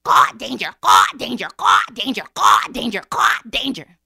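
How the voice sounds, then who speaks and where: A woman's voice: a little high, very animated, moderate pace, vocal fry, sharp tone, slightly rough, no room sound, clean studio sound, pitched above the performer's own voice, heavily acted. A woman voicing a storybook crow, a squawking lookout bird that caws out repeated alarms. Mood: loud alarm. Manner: squawking, repetitive cawing.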